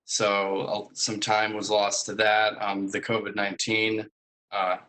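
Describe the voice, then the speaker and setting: A young man giving a semi-formal presentation about his student project, speaking in a hesitant, measured way. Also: fairly low pitch, completely flat delivery, pushed and bright, slight background noise, poor audio. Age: young adult.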